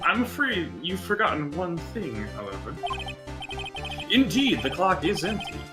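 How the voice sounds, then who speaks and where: A woman voicing a smug, condescending prosecutor in a courtroom game, formal, superior and deliberate. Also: fairly low pitch, big pitch swings, over music.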